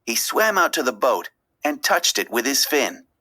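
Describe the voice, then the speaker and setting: A woman reading a children's story aloud as an expressive, dramatic narrator. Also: extremely low pitch, normal speed. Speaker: storybook reader.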